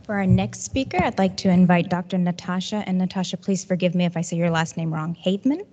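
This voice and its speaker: A woman's voice: lowish voice, fast, mellow, slight background noise. A woman moderating a conference session, politely asking questions and introducing speakers. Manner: polite, courteous. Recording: dull sound with long hall reverb.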